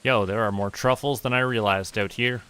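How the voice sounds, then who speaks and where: Chill canadian guy streaming a farm game, kinda low voice, thinking out loud, some quiet clicks in the back. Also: fairly even intonation, slight background noise. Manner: slow, musing, talks to himself while playing. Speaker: VTuber streamer. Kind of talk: relaxed gameplay commentary.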